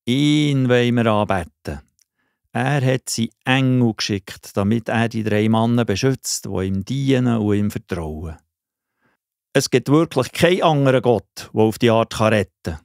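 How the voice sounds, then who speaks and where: A man's voice: medium pitch, animated, a little slow, vocal fry, a little mellow, no echo, clean studio sound. An actor playing an imperious, hot-tempered king in a children's audio play, with a Swiss accent and a theatrical, commanding manner. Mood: awed, solemn.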